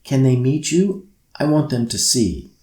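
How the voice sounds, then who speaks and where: A man's voice: medium pitch, big pitch swings, measured pace, mellow, echoey room, pitched above the performer's own voice. A man voicing an earnest, eager young girl in a children's bedtime story. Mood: hopeful. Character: Thompson, earnest young girl, frustrated, eager, full of wonder.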